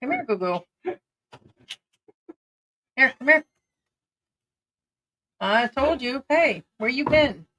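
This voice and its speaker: A woman's voice: high voice, lively intonation, slow, long pauses, pushed and bright, slight background noise. A woman co-hosting a casual livestream, chatty and affectionate, often calling her partner "babe" and cooing over animals. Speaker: livestream co-host.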